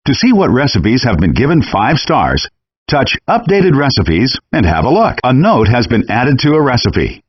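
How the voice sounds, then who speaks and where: A man voicing a friendly, encouraging virtual chef in a cooking game, giving clearly worded scripted instructions through dull, compressed game audio. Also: medium pitch, big pitch swings, moderate pace, no room sound. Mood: patient, instructive.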